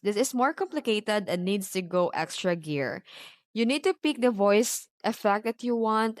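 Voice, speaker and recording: A woman's voice: medium pitch, no echo, clean studio sound. A woman giving scripted, step-by-step tutorial narration in short instructional phrases.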